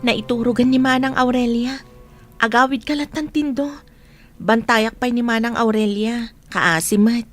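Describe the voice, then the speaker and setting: Kindly, higher-voiced village woman, played by an actress. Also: fairly even intonation, normal speed, faint music behind, lightly acted. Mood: sympathetic. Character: kindly village woman Marcy, caring and gently coaxing. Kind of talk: radio drama acting in Ilocano.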